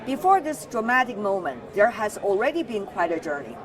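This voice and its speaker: A woman's voice: mid-range voice, big pitch swings, a little fast, far from the mic, crowd noise. A woman news host delivering scripted narration in a news report.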